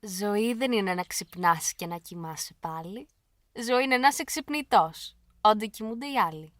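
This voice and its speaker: An actress playing a solemn, fateful chorus figure, reciting poetic lines in a declamatory theatrical manner. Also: female voice, medium pitch, animated, fairly quick, a bit bright, dry recording, natural voice. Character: female Greek tragic chorus voice, solemn, fateful. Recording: music under the voice.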